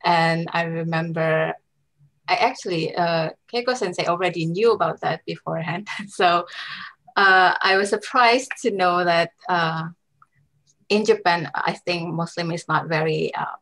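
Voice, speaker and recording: Young woman from Indonesia, low-pitched, earnest, headset mic on a video call. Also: a little slow, fairly bright tone, rough recording. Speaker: graduate student. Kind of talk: panel interview answers.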